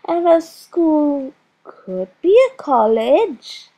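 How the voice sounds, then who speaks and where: A woman's voice: high-pitched, lively intonation, extremely slow, mellow, voice pushed up, full character voice. A woman reading a children's storybook, voicing a chatty, bossy schoolgirl who keeps interrupting her teacher with insistent questions. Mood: pestering.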